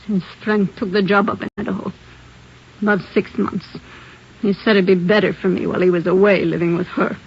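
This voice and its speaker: A brassy American wife under suspicion of murder, slangy, defensive and defiant. The sound is dull, lo-fi old-time radio, with the clipped feel of a crime serial. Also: female voice, mid-range voice, level tone, fairly quick, gravelly, husky. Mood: sullen, weary. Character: defiant, brash married woman accused of murder. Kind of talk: 1950s radio crime drama acting.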